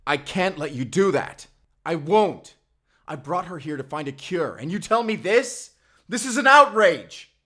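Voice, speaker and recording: A man's voice: on the high side, animated, a little slow, pushed and bright, full character voice. A man playing a young, sheltered and earnest vampire slayer, in a cinematic audio roleplay, speaking in an intimate, conversational way. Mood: outraged.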